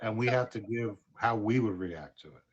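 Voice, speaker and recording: A man's voice: fairly low pitch, moderate pace, lo-fi sound. A man chatting casually in brief interjections as a guest on a live stream show.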